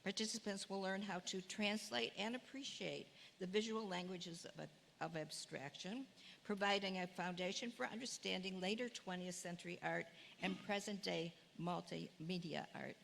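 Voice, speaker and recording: Lady teacher with a low gravelly voice, chatty, lots of ums, echoey hall with a little hiss. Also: fairly even intonation, moderate pace, fairly bright tone. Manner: chatty, self-deprecating, many fillers. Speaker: course instructor. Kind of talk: class preview talk. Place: lecture hall, in front of audience. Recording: long hall reverb, faint room noise.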